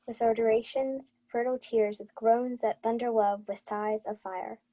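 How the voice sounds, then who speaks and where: A young woman playing a courtly, ardent young gentleman messenger, declaiming in a radio Shakespeare play. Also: a little high, fairly even intonation, normal speed, no echo, natural voice. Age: young adult. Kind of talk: 1940s radio Shakespeare acting.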